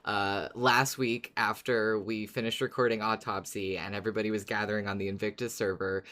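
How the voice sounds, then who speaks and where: Female podcast co-host with an extremely deep voice, chatting casually. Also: level tone, fairly quick, a bit bright. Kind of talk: casual chat.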